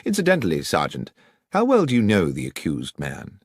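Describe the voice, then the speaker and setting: Commanding male Victorian detective for a storybook, acted by a male reader: low, expressive, crisply authoritative. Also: normal speed, no echo, studio quality, exaggerated voice. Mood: brisk, probing. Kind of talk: audiobook character reading.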